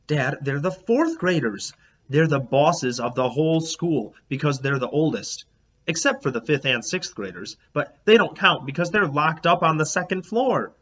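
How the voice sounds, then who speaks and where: A man's voice: on the high side, lively intonation, moderate pace, a bit bright. A man voicing an earnest, bossy third-grade girl from a storybook, emphatic and insistent. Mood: exasperated. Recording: dull lo-fi, background noise.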